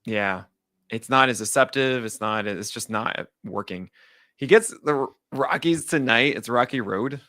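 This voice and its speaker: A man hosting a podcast, chatting casually about pitching analysis with jokey asides. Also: bright, forceful tone, no room sound. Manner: jokey, quick asides, trails off and restarts. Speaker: podcast host. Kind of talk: casual baseball analysis chat.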